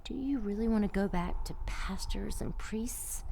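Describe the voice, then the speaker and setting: A woman's voice: medium pitch, normal speed, vocal fry, mellow, a little hiss or hum, natural voice. An actress playing a rebellious, lustful female demon in an audio drama, sardonic and teasing, purring some words breathily. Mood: seductive, persuasive.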